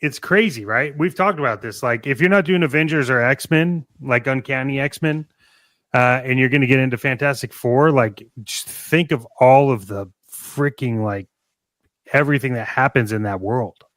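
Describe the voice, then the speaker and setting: A man's voice: mid-range voice, moderate pace, fairly bright tone, no echo. A man chatting casually as a podcast co-host, an enthusiastic fan who rambles and thinks aloud as he goes. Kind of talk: casual fan chat about toys and films.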